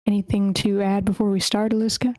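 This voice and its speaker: A woman's voice: medium pitch, flat delivery, brisk, mellow, dry recording. Casual woman hosting, dry close sound. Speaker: host of an online table read. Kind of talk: casual announcing and organizing. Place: online voice meeting.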